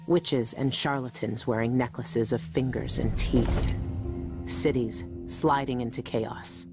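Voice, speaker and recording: Game casting: ex-assassin woman, serious and terse, low voice, brooding delivery over a musical score. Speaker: protagonist and narrator. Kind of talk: brooding scripted game narration and dialogue. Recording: music under the voice.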